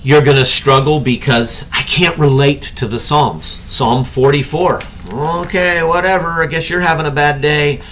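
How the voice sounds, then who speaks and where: Middle-aged guy pastor-teaching from his study, chatty, keeps saying okay?, faint rumble behind. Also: normal speed, hardly any pauses. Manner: conversational, animated hand gestures, keeps asking "okay?". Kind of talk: casual teaching talk. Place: home study with bookshelves and whiteboard.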